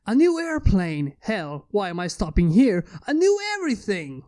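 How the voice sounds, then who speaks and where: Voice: mid-range voice, huge pitch swings, moderate pace, mellow. A narrator voicing a proud, idealistic male inventor making a grand, declamatory speech in a fan fiction story. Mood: excited, boastful.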